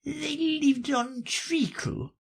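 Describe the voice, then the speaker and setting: A reader voicing a sleepy, feeble little male dormouse from a children's storybook, with a hoarse, drowsy, faltering voice. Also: high voice, drawn-out words, voice pushed up, exaggerated voice.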